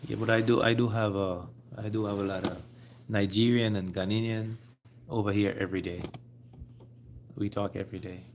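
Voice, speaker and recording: A man with a Jamaican accent hosting a casual live stream, speaking haltingly and repeating his phrases. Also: on the low side, fairly even intonation, background noise. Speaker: livestream host.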